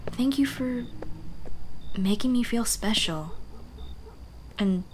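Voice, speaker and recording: A woman playing a shy, earnest Dullahan schoolteacher in an ASMR roleplay, speaking softly and expressively. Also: a little high, animated, slow pace, a little hiss or hum. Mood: tender, grateful. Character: shy, earnest female Dullahan teacher, headless monster girl on a date.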